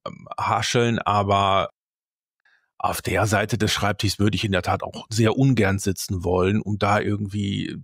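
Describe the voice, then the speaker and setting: Blunt low-voiced man chatting. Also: fairly even intonation, unhurried, vocal fry, no echo, studio quality. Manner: blunt, colloquial, a bit rambling. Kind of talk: casual conversation.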